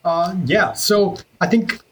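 A man, speaking in a relaxed way with frequent fillers as an interview guest. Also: high voice, slow pace. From Ecuador. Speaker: childcare worker guest. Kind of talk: podcast interview.

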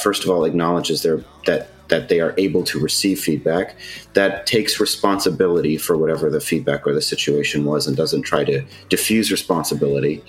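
A male podcast host with a low voice speaks in an upbeat, friendly way. He recaps and closes the show over faint music. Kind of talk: podcast interview.

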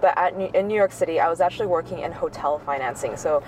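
A young woman with an American accent and a lowish voice gives conversational interview answers. She sounds a bit away from the mic, with faint vehicle noise around her. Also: fast talker, darkish tone, far from the mic, rough recording. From grew up in Shanghai, China. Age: young adult. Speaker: interview guest, corporate innovation manager.

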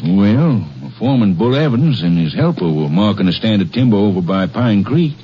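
An earnest, flustered mill superintendent played by a man in an old radio western. His voice is fairly low and very animated, with hesitant phrasing and a dull, limited sound. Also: moderate pace, natural voice. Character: flustered, trusting male lumber mill superintendent. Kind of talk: 1950s radio western acting. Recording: muffled old radio, faint noise.

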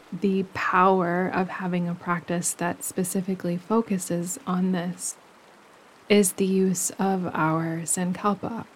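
A woman guides a relaxation meditation very slowly and gently. Her voice is on the low side and stays very even in pitch. Running stream water sounds softly behind her. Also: slight background noise, rough recording. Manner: soothing, gentle instruction with unhurried pauses. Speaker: yoga nidra meditation guide.

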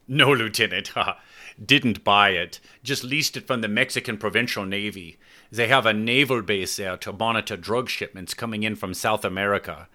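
An easygoing retired captain, played by a male audiobook narrator. The voice has a bright, firm edge. He is good-humored and unhurried in manner, talking as a character in a novel. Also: on the low side. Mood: matter-of-fact.

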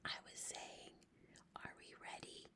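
A woman's voice: medium pitch, animated, brisk, darkish tone. A woman voicing a small, polite and plucky young girl in a storybook, with careful phrasing. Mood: hushed, apologetic. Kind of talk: storybook read-aloud.

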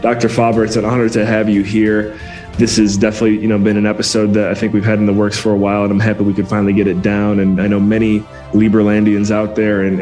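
A man's voice: fairly low pitch, darkish tone. A man hosting a podcast, enthusiastic and friendly as he introduces the show and asks questions. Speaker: podcast host.

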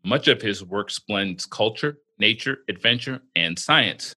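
Male podcast host, lowish voice with a sharp brightness, bantering and stumbling over fillers. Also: lively intonation. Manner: joking, halting with many fillers and restarts.

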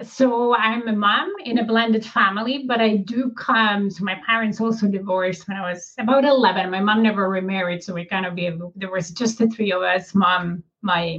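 A woman in her forties with a Polish accent, a business coach speaking as a guest on an interview show; she explains with pauses and laughs easily. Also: mid-range voice, fairly bright tone, lo-fi sound. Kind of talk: casual interview talk.

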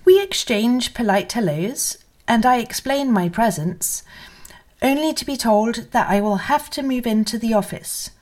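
A woman's voice: a little high, animated, unhurried, a little mellow. A woman narrating a first-person memoir as a scripted literary reading. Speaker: reader of memoir excerpts.

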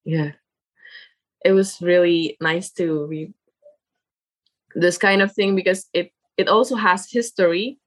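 A young woman guest in a casual interview, speaking English as a second language with Indonesian as her first. Her voice is fairly low, and she talks slowly. She stops often to hunt for words and fills the gaps with fillers. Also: fairly even intonation. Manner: halting, searching for words with many fillers.

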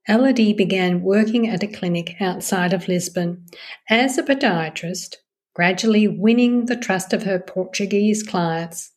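A woman hosting a podcast, reading a measured, formal introduction and then asking questions in a friendlier tone, recorded over a phone call. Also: mid-range voice, darkish tone. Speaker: podcast host. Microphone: phone over a messaging-app call.

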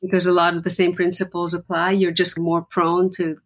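Low-voiced woman from Lebanon, earnest. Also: monotone, a little fast, a bit bright. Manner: earnest and reflective, with frequent restarts. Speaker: musician guest. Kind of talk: conversational interview.

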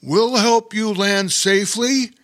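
A storyteller voicing a big, kind male bear in a slow, reassuring way. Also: medium pitch, a bit bright, dry recording, clean studio sound, pitched above the performer's own voice.

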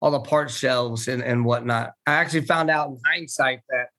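A man in his thirties from Central Florida, a dealership owner answering interview questions in an earnest, halting way with fillers over a remote call. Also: mid-range voice, steady tone, bright, forceful tone. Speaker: car dealership owner, guest. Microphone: remote video call.

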